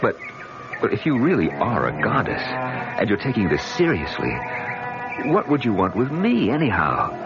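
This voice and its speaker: An actor playing a charming, flustered bachelor with a hesitant, stammering delivery in a radio drama. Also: male voice, on the low side, huge pitch swings, moderate pace. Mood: pleading, exasperated. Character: flustered, charming bachelor man trying to propose marriage. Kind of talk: old-time radio drama acting. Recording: muffled old radio drama, loud music.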